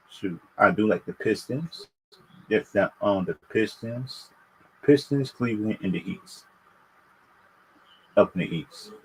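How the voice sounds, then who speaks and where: A man's voice: fairly low pitch, slight background noise, rough recording. A man chatting casually and unscripted to viewers as a livestream host, stammering and repeating his phrases as he gives opinions. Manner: halting, repetitive, stammering over phrases.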